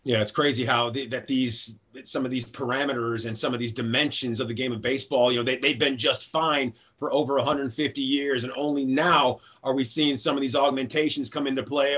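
A man's voice: medium pitch, fairly even intonation, fairly quick, hardly any pauses, a bit bright. A man hosting a podcast, giving conversational sports commentary. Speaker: podcast host.

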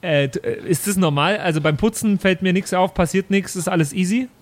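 A man's voice: sits high, steady tone, a little fast. A man playing a tabletop role-playing game, casually improvising his character's actions and talk.

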